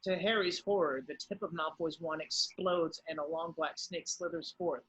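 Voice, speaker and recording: A man giving scripted narration, reading the stage directions of a drama in a husky voice. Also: on the high side, steady tone, moderate pace, fairly bright tone, low-quality recording.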